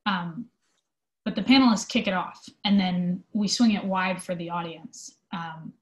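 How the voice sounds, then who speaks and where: The voice is a woman's, set a little low and heard in a very echoey room. She speaks as an interview guest, earnest and thoughtful, with casual conversational phrasing. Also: measured pace. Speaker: theater company manager being interviewed.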